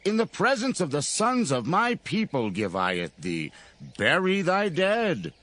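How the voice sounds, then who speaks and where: Courteous ancient landowner, generous, very animated pitch, slow emphatic phrasing, scripture drama. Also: male voice, heavily acted. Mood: courteous insistence. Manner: courtly, emphatic, drawn-out delivery.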